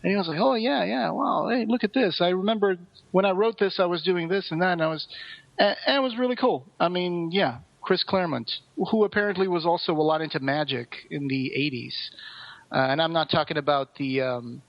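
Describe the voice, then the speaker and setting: A man's voice: high-pitched, brisk, vocal fry. Enthusiastic podcast man in a roomy, dull recording. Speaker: podcast co-host. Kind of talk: casual chat.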